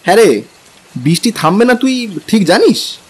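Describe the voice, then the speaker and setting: A man playing a young, easily exasperated husband in an audio drama, speaking in an expressive, theatrical way. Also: high voice, normal speed, breathy edge, heavily acted. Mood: resigned, anxious. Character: young middle-class husband, grumbling, exasperated traveller.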